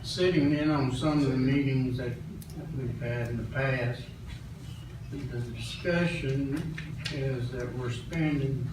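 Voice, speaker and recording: A man comments at a public meeting in a deliberate, skeptical way. He speaks slowly and evenly, far from the mic in a very echoey space. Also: medium pitch. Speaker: county board member. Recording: very echoey room, distant mic, noisy.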